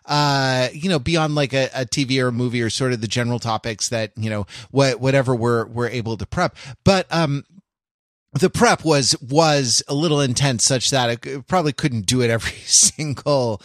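A man hosting a casual panel podcast, talking in a halting, rambling way and often interrupting himself. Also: mid-range voice, a bit bright, dry recording, clean studio sound. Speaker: podcast host.

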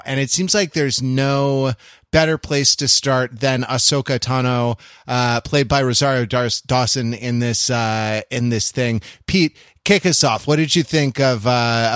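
A man's voice: mid-range voice, unhurried, a bit bright, clean tone, no room sound, clean studio sound. A man hosting a casual panel podcast about television, riffing in a wry way and often laughing. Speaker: podcast host.

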